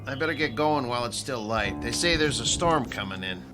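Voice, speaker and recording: A man plays an easygoing soldier in a radio drama. He sounds dutiful and casual at a middling pitch. Loud music and vehicle noise sit behind the voice. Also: lively intonation, fairly quick, natural voice. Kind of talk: modern radio drama acting.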